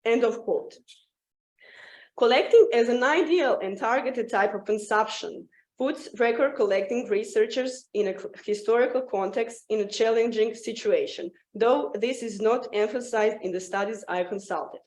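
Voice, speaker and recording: A woman presenting a scripted academic paper at a symposium, reading aloud haltingly and often stumbling and restarting words. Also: a little high, a little mellow, roomy sound, rough recording.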